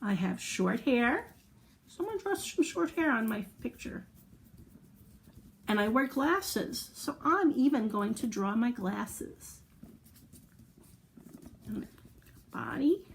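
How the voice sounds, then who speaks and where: A woman's voice: fairly high pitch, sing-song, slow pace, gravelly, long pauses, a little hiss or hum. A woman hosting a children's storytime, telling a story and chatting to young listeners in an encouraging way with simple explanations and questions. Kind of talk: read-aloud storytelling and chat to young children.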